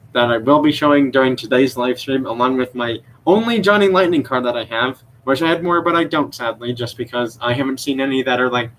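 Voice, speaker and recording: A man's voice: mid-range voice, a little hiss or hum, rough recording. A young man chatting on a live stream about his toy car collection, rambling with many fillers and unsure self-corrections. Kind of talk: casual live stream show-and-tell. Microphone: computer mic.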